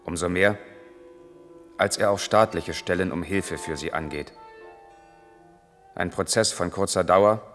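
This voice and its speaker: A torn, evasive intellectual with a deep voice. He speaks deliberately and seriously in 1980s radio drama style, in a long reverberant space with music in the mix. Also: fairly bright tone. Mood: sober, measured. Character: brooding male lover, an East German intellectual, torn and evasive. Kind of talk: 1980s German radio play acting, measured narration and intimate dialogue.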